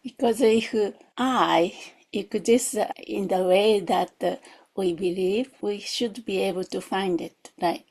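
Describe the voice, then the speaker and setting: A woman's voice: mid-range voice, slow, vocal fry, darkish tone. A woman guides a meditation as a teacher, reflective and questioning, in short spaced phrases. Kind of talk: guided meditation, gentle instruction.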